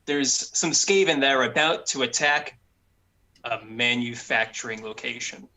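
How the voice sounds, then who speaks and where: A man's voice: medium pitch, measured pace, bright, forceful tone. A man voicing a bossy, suspicious fantasy adventurer who barks short, repeated orders. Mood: urgent, rallying. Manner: urgent, repeating short commands.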